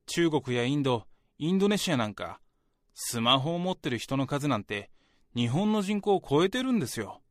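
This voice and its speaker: A man's voice: on the high side, very animated, normal speed, no room sound, clean studio sound. A man playing an ambitious, self-assured young office worker in a radio drama, speaking politely and earnestly to his senior. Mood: eager, talkative. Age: young adult. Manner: polite junior speech, talkative about ambitions. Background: background music.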